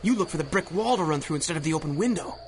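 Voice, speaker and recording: An actor playing a scrappy, anxious small-time crook with a streetwise, colloquial manner in a radio drama. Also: male voice, fairly high pitch, animated, rapid-fire, a little hiss or hum. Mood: urging.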